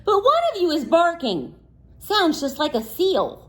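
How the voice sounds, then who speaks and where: A woman's voice: extremely high pitch, huge pitch swings, a little slow, pitched above the performer's own voice, heavily acted. A woman voicing a firm but kindly schoolteacher in a children's storybook, instructing her class. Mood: questioning.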